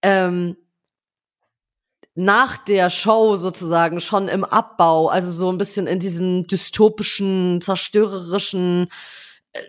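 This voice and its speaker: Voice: medium pitch, steady tone, unhurried. A young adult podcast co-host in a casual chat, talking in a rambling way full of hedges and tag questions.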